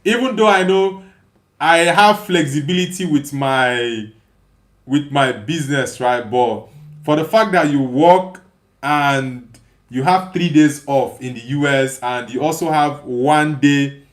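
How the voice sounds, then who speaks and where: Male livestream host, fairly high-pitched, chatty and emphatic, reads viewer messages and replies off the cuff with repeated phrases. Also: slow. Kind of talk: casual live chat, reading viewer comments aloud and replying.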